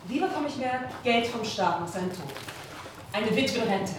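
A woman's voice: on the high side, animated, normal speed, lightly acted. A woman playing a practical-minded widow, reciting her lament like a stage rehearsal. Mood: calculating. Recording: very echoey, noisy, far from mic.

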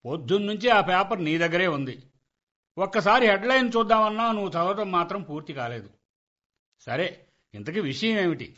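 A man's voice: high voice, expressive, a little fast, pushed and bright. A man acting as a grumbling, exasperated middle-aged husband who nags and argues in a radio comedy play. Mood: nagging. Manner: nagging, argumentative. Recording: dull, lo-fi radio play recording.

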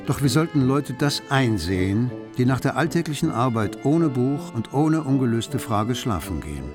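An actor playing a wry literary narrator, telling the story in measured, scripted radio play style. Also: male voice, mid-range voice, very animated, music in the background, natural voice. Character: literary narrator, wry observer of bourgeois households.

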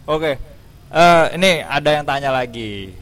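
A man's voice: on the high side, expressive, unhurried, a bit bright, slight background noise. A man hosting a casual radio music interview. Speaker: radio host.

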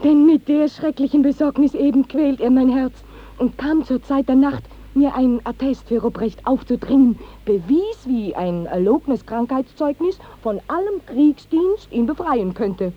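Impassioned, very high-voiced young village maiden. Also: female voice, expressive, normal speed. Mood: wounded, indignant. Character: impassioned, desperate young village maiden pleading for her sweetheart. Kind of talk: 1950s German radio stage-classic acting. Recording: dull 1950s radio drama recording.

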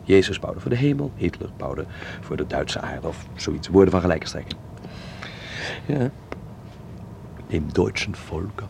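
A man's voice: low-pitched, sing-song, measured pace, vocal fry, long pauses, darkish tone, slight background noise, full character voice. An actor playing a thoughtful young Dutch man living in 1930s Berlin, in a conversational radio drama. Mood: appalled.

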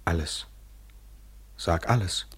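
A man's voice: low voice, takes it slowly, fairly bright tone, husky, heavily acted. An actor playing a grave, devoted man in love, speaking in a poetic, solemn radio play style. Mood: grave, tender.

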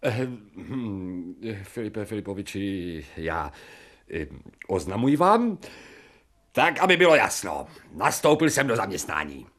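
An actor playing a coarse, cocky stray dog turned into a man, speaking insolently and haltingly with grunts in a Czech radio drama. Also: fairly low pitch, very animated, fairly bright tone. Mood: smug, halting.

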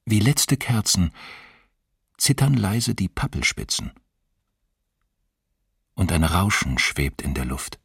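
Deep, husky, solemn poetry reciter. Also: male voice, very animated, fairly bright tone, no room sound, studio quality, far from the mic, exaggerated voice. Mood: solemn, mournful. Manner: solemn, deliberate phrasing. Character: solemn male reciter of a mournful memorial poem. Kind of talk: poetry recitation in a radio play.

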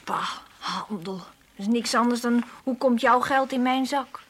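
An actress playing a spirited, matter-of-fact schoolgirl in a radio drama, chatting frankly in a breathy voice. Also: a little high, unhurried, fairly bright tone. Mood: indignant. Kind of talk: conversational radio drama acting.

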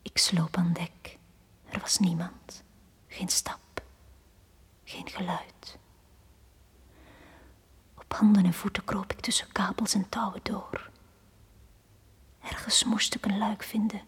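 Radio play: ghostly drowned girl, barely voiced breathy whispering, slow and deliberate storytelling. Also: medium pitch, fairly even intonation. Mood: hushed, fearful. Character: drowned young girl, haunting, recounting her death. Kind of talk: dramatic radio play monologue.

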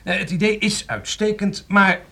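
An actor playing a shrewd, wry thriller writer in a radio drama, thinking aloud hesitantly. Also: male voice, high-pitched, normal speed, creaky, pushed and bright, full character voice. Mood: wry, pondering.

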